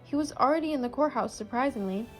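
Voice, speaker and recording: A woman's voice: high-pitched, fast, faint music behind, close to an everyday voice. A woman acting an eager, friendly young woman in an amateur radio play. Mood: curious, casual.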